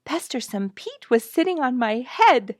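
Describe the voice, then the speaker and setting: A woman storyteller voicing an excitable, tender-hearted young daughter who loves animals, in a breathy, exclaiming way. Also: sits high, unhurried, dry recording, clean studio sound, voice pushed up. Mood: excited.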